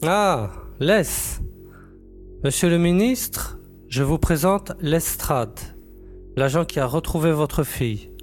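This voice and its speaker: A man playing a formal, deliberate superior officer in a science-fiction audio drama. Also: on the high side, lively intonation. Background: music.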